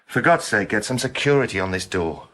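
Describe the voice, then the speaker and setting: Worried careful cop in a radio drama, lowish voice, earnest and insistent, bit of background noise. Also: male voice, animated, a little fast, a bit bright. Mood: urgent, pleading. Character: earnest, worried male police detective, cautious, insistent. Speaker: actor in a crime radio drama.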